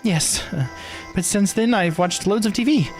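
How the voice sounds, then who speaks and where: A shy, apologetic young woman from a visual novel, acted by a man. The voice sits high and speaks politely and hesitantly, with music playing underneath. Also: normal speed, natural voice. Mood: meek, apologetic. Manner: hesitant, polite, self-effacing. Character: meek, apologetic young amnesiac woman, not human.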